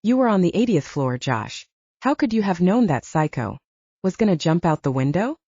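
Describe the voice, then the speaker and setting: A woman's voice: on the low side, sing-song, normal speed, warm tone, slightly rough, no room sound, dull audio, full character voice. A woman voicing a young blonde werewolf woman, protective and reassuring, with a soothing but firm manner, in an audiobook.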